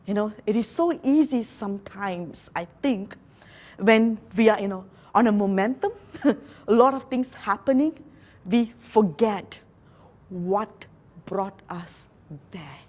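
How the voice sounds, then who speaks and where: A woman from Malaysia, not a native English speaker, giving an earnest motivational talk with slow, emphatic phrases. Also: medium pitch, darkish tone. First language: a Malaysian local language.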